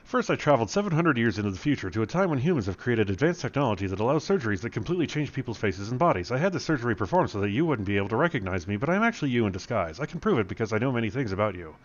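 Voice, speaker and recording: A man's voice: medium pitch, sing-song, rapid-fire, hardly any pauses, muffled recording, natural voice. A man voicing a smug, scheming boss villain in a comic story, pompous and long-winded. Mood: explaining.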